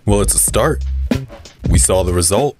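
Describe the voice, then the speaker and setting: A man playing a calm, earnest community activist being interviewed in an audio drama, speaking in a measured way. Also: fairly low pitch, music in the background, close to an everyday voice. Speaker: radio show guest.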